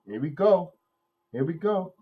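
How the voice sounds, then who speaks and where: Nasal man calling a game excitedly. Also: fairly high pitch, measured pace, breathy edge, narrow, tinny audio. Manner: excited play calling, rambling opinion talk with restarts. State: nasal from a cold. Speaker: podcast host doing live play-by-play. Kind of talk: watch-along game commentary and chat.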